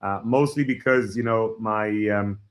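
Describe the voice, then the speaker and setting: A man in his forties from Israel, a Hebrew speaker, telling his life story as a podcast guest in a reflective, halting way. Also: on the low side. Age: 40s. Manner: reflective, halting starts with frequent fillers. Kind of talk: personal storytelling in an interview.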